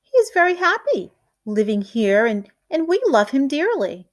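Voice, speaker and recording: A woman's voice: high voice, sing-song, normal speed, pitched above the performer's own voice. A woman voicing an earnest, protective, loving housewife in a storybook, pleading and emphatic. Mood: protesting.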